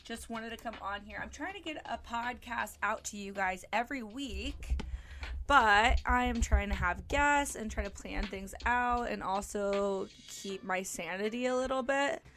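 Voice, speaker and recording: A woman's voice: fairly high pitch, measured pace, a bit bright, slight background noise. Chatty young woman hosting a podcast. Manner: chatty, upbeat, frequent ums and likes. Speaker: podcast host. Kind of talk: casual solo podcast chat.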